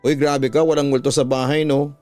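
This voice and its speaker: A man's voice: fairly high pitch, level tone, fairly quick, darkish tone, faint music behind, close to an everyday voice. A man with a Filipino accent playing a puzzled, questioning schoolgirl in a radio drama. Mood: incredulous. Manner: questioning, incredulous. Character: puzzled young schoolgirl questioning her friend.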